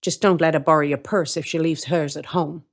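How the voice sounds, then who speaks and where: A woman's voice: low voice, fairly even intonation, fairly quick. A woman reading a first-person story in character, with a wry, streetwise, colloquial manner. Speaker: story reader.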